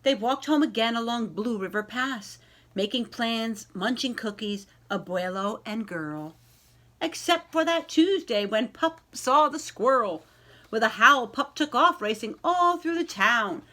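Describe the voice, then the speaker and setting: A woman reading a children's picture book aloud in an expressive storytelling style, addressing young listeners directly. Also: fairly high pitch. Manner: animated, pausing to ask young listeners questions.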